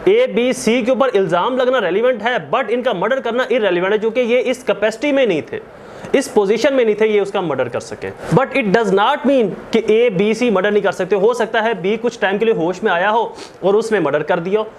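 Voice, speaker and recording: A man's voice: high voice, lively intonation, brisk, slight background noise. A man with a Pakistani accent giving a didactic law lecture, repeating points, posing and answering his own questions and switching into English legal terms. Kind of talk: explanatory lecture.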